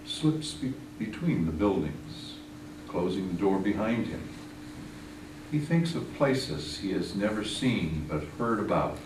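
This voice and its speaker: A man reading poetry aloud in a measured recitation style. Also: on the low side. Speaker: poetry reader. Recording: echoey distant room recording, clear noise.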